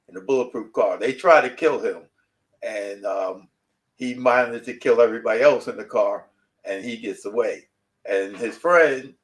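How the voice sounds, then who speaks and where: New man, author guest, rambling casual storyteller, mid-pitched voice. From New York City. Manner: rambling storyteller, repeats himself. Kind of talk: casual interview chat.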